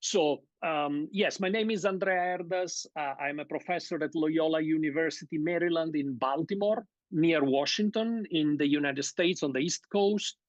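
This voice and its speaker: A man's voice: on the high side, dry recording. Middle-aged Italian man, guest lecturer, hesitant academic delivery, muffled dry call audio. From Sardinia, Italy. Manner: measured, hesitant, many fillers and restarts. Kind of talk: academic seminar talk. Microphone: video call.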